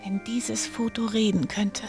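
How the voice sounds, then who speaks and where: A woman's voice: a little high, little pitch movement, a little slow. Young girl detective, music behind. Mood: curious. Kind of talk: scripted adventure game dialogue.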